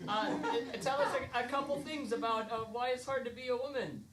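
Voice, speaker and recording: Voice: medium pitch, brisk, crowd noise. An improv performer narrating a parody audio drama live on stage in a playful, theatrical manner. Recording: noisy live stage recording.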